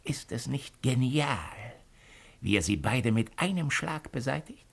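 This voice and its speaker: An actor playing a shrewd, cynical imperial agent of ancient Rome in a 1950s German radio play, with a mocking, deliberate, rhetorical delivery. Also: male voice, medium pitch, expressive, vocal fry, a bit bright, slightly rough, full character voice. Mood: mocking, relishing.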